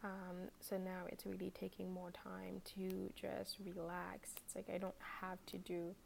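A young woman from Haiti talks as a podcast guest in a gravelly, creaky voice. She speaks loosely and hesitantly, with plenty of fillers. Faint background noise sits under her voice. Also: on the low side, measured pace. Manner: relaxed, hesitant, many fillers. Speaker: podcast guest, fashion blogger. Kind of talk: casual interview chat.

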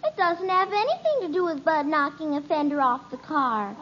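An actress playing an earnest little daughter who recites facts primly in a 1950s radio comedy. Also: female voice, high voice, expressive, normal speed, fairly bright tone, a little hiss or hum. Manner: prim, earnest, fact-reciting. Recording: dull old radio transcription.

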